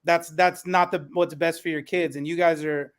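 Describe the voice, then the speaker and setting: A man's voice: a little high, fairly even intonation, moderate pace. A man moderating a panel discussion in a conversational way, as its host. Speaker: panel host and elementary school teacher.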